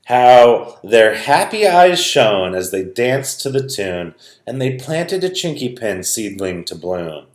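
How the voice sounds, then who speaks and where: A man reading a rhyming children's story aloud in a dramatic, expressive way. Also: mid-range voice, slow pace, few pauses. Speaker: storybook reader. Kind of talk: animated children's read-aloud, rhyming narration. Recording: roomy, echoing sound.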